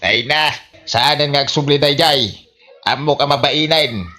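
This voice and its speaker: A man's voice: on the high side, a little slow, bright, forceful tone. A man with an Ilocano Filipino accent playing a disapproving, scheming older villager in a comedy radio drama.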